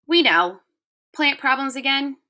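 A curious, chatty young girl from a storybook, read by a woman. Her voice jumps very high and bright, with lively emphasis. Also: a little slow, exaggerated voice.

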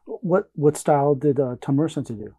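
A man's voice: on the high side, brisk, mellow, breathy edge, no echo. A middle-aged man hosting a podcast interview in a small studio, asking short questions. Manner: short questions and backchannel replies. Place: small studio room, indoors.

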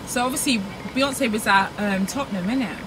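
A woman's voice: fairly high pitch, brisk, distant mic. A young woman, chatting animatedly with friends in a car. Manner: lively, animated. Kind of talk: casual chat. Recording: noisy car recording.

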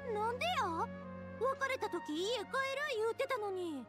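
An actress playing a spirited, kind-hearted young woman with a Kansai accent and a brisk, informal manner in an anime game. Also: very high voice, lively intonation, music in the background. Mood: surprised. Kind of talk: Japanese anime game voice acting.

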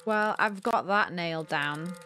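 A woman's voice: on the low side, measured pace, bright, forceful tone, faint music behind. A young woman gives casual let's play commentary, thinking aloud and questioning herself in puzzlement. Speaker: gaming video host. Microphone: close desk mic with headset on.

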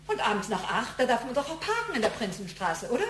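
A woman's voice: fairly high pitch, big pitch swings, quick pace, a bit bright, echoey room, a little hiss or hum. A woman playing a flustered, fretful middle-aged secretary of long service in a German radio play, in an agitated manner. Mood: alarmed. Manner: agitated, fretting.

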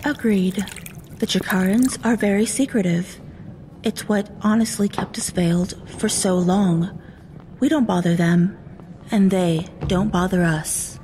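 A woman's voice: mid-range voice, a little slow, a little mellow, a little hiss or hum, natural voice. A woman playing a stoic, serious dragon in human form, restrained and dry, in a fantasy audio drama. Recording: clear background ambience under voice.

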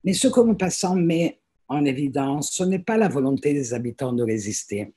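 A woman's voice: low-pitched, lively intonation, normal speed, warm tone, a little hiss or hum. A woman in her seventies, a native French speaker, introducing herself on a video call with frequent fillers and restarts. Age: 70s. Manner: hesitant, many fillers and restarts. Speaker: teacher and guest reader. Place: small room at home, indoors. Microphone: laptop webcam on a video call.

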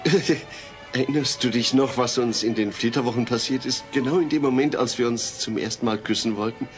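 A man's voice: medium pitch, brisk, faint music behind, close to an everyday voice. A man playing a mild middle-aged suburban husband in an audio drama, earnest, hesitant and easily flustered. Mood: reminiscing. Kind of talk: German audio drama dub acting.

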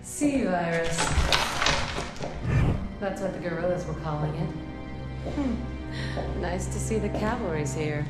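A low-voiced, sly secret agent. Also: female voice, animated, measured pace, long pauses, echoey room, loud music behind the voice. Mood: coolly teasing. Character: cool, sly, self-possessed female secret agent. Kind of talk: video game cutscene acting.

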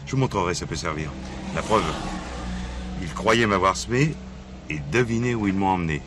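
Low-voiced dogged police inspector. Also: male voice, animated, normal speed. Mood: confiding, determined. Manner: insistent, deferential yet cheeky. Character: dogged, streetwise male police inspector under suspicion. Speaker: actor. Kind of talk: French radio play acting. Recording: noisy archive drama recording, vehicle ambience.

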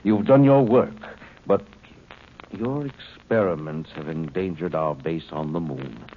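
An actor playing a cold, superior alien being in a human body, speaking precisely and with detachment, in an old 1950s radio drama. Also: male voice, sits low, measured pace. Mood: coldly superior. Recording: dull old radio broadcast with faint hiss.

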